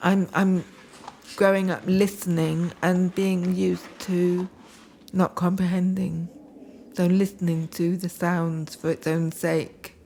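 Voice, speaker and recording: A woman speaking reflectively and haltingly in a documentary, with deliberate pauses. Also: lowish voice, level tone, vocal fry, dark tone, a little hiss or hum. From England. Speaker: deaf poet. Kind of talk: reflective spoken documentary, with read poetic lists.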